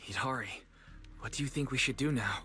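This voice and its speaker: A man playing a caring, level-headed young leader in a video game, husky and earnest. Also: medium pitch, brisk, bright, forceful tone, faint music behind, close to an everyday voice. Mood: thoughtful. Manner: earnest, thoughtful.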